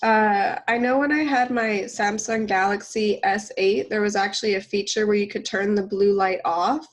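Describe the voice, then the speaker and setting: A woman's voice: mid-range voice, fairly even intonation, normal speed. Congested woman co-hosting a casual podcast. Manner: chatty, laughs often, many fillers. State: congested from a cold. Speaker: podcast co-host.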